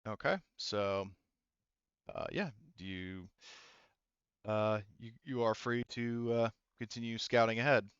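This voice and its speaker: A man narrating a tabletop sci-fi game as game master, conversational and halting, repeating words, on a headset. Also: fairly low pitch, fairly bright tone, smooth, no room sound. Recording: dull close headset sound.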